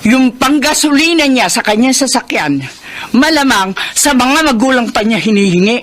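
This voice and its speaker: A woman's voice: fairly high pitch, lively intonation, moderate pace. A woman playing a middle-aged, stern and hurt mother in a Filipino radio drama, reproachful and emphatic, with a Filipino accent. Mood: stern disapproval. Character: stern, hurt mother of a rebellious student daughter. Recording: radio drama with loud music underneath.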